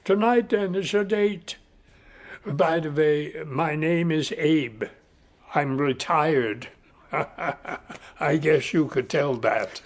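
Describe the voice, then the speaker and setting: Slow-talking, blunt old retired man. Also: fairly high pitch. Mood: friendly, wry. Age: older. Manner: slow, dryly blunt, formal address. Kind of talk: audio drama dialogue.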